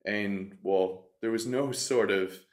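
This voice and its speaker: A man in his thirties, a podcast guest, telling his own story in an earnest, reflective way with frequent restarts, on a desk mic in a bright studio room. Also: fairly low pitch, slow pace. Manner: earnest, reflective, self-interrupting. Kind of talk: candid storytelling in conversation. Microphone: desk mic on stand.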